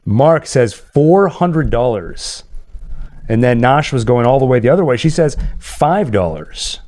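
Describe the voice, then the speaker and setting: A man's voice: medium pitch, expressive, unhurried, a little mellow. A man hosting a live stream in casual banter, telling stories and running a quiz with playful asides to the chat. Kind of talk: casual livestream banter and storytelling. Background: music.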